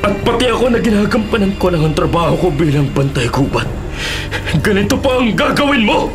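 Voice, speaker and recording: A man's voice: sits high, big pitch swings, brisk, music in the background. A man acting a wounded, righteous forest ranger in a Filipino radio drama, strained and indignant. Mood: indignant, defiant. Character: wounded, righteous male forest ranger facing his killer.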